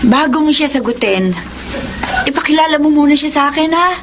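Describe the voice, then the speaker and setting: A woman's voice: high voice, fairly quick, background noise. A woman with a Filipino accent playing a skeptical, protective but caring middle-aged mother in a radio drama. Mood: suspicious, probing.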